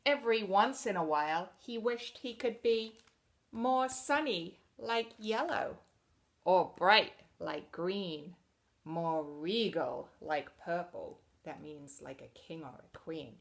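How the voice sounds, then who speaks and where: Slow-paced woman reading to children. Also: on the low side. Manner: expressive, explains words simply to children. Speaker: teacher reading aloud to children. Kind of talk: children's storybook read-aloud with asides.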